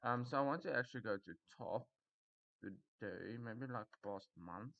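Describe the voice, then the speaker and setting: A young man talks in rambling, casual commentary that breaks into loud disbelief. His voice is bright and forceful, and the sound comes through a muffled, lo-fi mic. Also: medium pitch, expressive, normal speed, gravelly. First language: Afrikaans. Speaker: YouTuber reviewing Reddit posts. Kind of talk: casual commentary reading posts.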